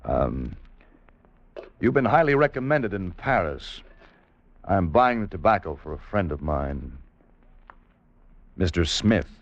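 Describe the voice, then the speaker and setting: An actor with an American accent playing a wry freelance correspondent turned agent, in a 1950s radio drama. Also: male voice, low-pitched, sing-song, slow pace, gravelly, long pauses, exaggerated voice. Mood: casual, wry. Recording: muffled old radio recording, music behind.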